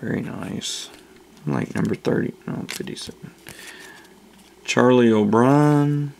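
Host, male, unhurried low-key delivery, very gravelly, faint room hiss. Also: darkish tone. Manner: slow, low-key listing of names. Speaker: channel host opening card packs. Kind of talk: casual card-opening commentary. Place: indoors at a table.

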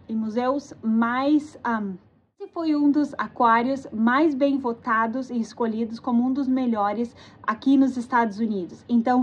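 A woman's voice: high-pitched, breathy edge. A woman travel vlogger chatting casually and enthusiastically, often correcting herself as she talks. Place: tourist aquarium and museum. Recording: slightly dull lo-fi audio with faint background noise.

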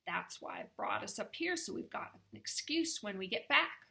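Lady doing a storybook boy raven, bossy and sarcastic, kinda creaky. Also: mid-range voice, very animated, moderate pace, pushed and bright, full character voice. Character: bossy, sarcastic male raven, greedy and conspiratorial.

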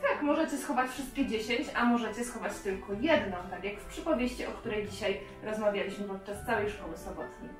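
A woman's voice: medium pitch, a little fast, hardly any pauses. A woman in her thirties leading a craft and game for young children, asking them simple questions directly. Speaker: children's lesson presenter. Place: child's bedroom indoors. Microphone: camera a few metres away. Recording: roomy, distant camera sound with music.

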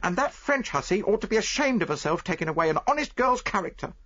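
A man reading aloud voices a young English chambermaid who answers back in a shrill, quarrelsome way. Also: sing-song, quick pace, fairly bright tone, breathy edge, dull audio, full character voice. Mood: indignant.

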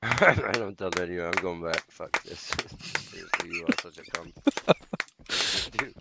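A man argues in online game voice chat over a clearly noisy connection. He is combative and foul-mouthed, throwing insults as he goes. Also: sits low, slow pace. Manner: sweary, insulting, argumentative. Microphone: gaming voice chat microphone.

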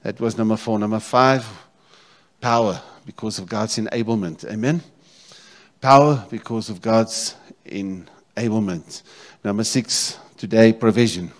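Male South African preacher, fifties, lowish voice, repetitive emphatic phrasing, sermon delivery on a handheld mic. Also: a little slow. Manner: repeats phrases, checks in with congregation. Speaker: pastor preaching. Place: church stage, indoors. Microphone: handheld microphone.

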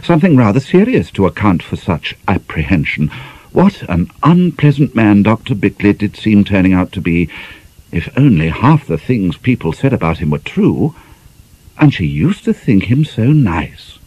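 Deep-voiced man narrating an audiobook. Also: very animated, moderate pace, a little mellow, breathy edge. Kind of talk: scripted narration of a novel. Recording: slightly dull, band-limited audio.

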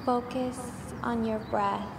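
A woman with a fairly high voice leads a soothing guided meditation in an even, barely varying tone. She speaks extremely slowly, leaving long pauses between affirmations. The recording is noisy and washed in long reverb. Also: creaky.

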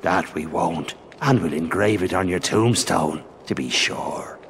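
A cold, sardonic older pirate captain, gravelly and forceful. He draws out his archaic threats slowly, in video game drama style. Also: low voice, a little hiss or hum, exaggerated voice. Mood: cold menace. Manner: archaic, drawn-out pirate menace.

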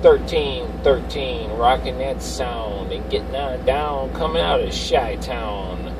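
A man's voice: mid-range voice, takes it slowly. A man making casual CB radio chatter in a vehicle, with repetitive call-sign patter. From Chicago. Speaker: CB radio operator. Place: inside a vehicle cab. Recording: noisy car cab, distant mic.

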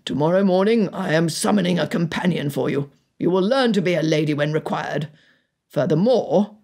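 A woman voicing a stern, exasperated gentleman father in an audiobook, with a gruff, commanding and formal manner. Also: low-pitched, normal speed. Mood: severe. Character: stern, exasperated titled father of a wilful daughter. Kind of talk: audiobook character dialogue.

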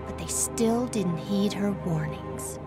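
A young woman playing a wry, determined aspiring crime fighter in an animated comic. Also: mid-range voice, takes it slowly, over music, close to an everyday voice. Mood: wry, resolute. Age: young adult. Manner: wry, self-assured. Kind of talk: animated motion comic narration and dialogue.

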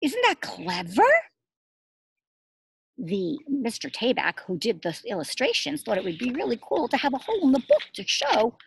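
A middle-aged woman reads a picture book to preschoolers in a high, slightly husky voice that swings up and down with expression. She repeats words for emphasis and checks in with simple questions. The sound is dry and open, recorded outdoors. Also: normal speed, dry recording. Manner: expressive, addressing small children with simple words and emphatic repetition. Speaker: preschool teacher reading aloud. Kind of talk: children's story read-aloud with explanations and questions. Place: outdoors in a garden.

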